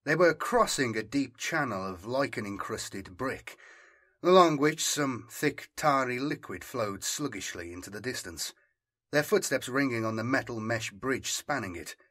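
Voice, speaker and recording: A man's voice: mid-range voice, expressive, unhurried, a bit bright. A man reading scripted dramatic fiction as an audiobook narrator.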